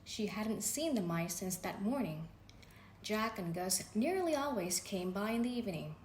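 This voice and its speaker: A woman reading a children's bedtime story aloud, gently and expressively. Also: mid-range voice, animated, moderate pace. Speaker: storybook reader. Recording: echoey room with faint background noise.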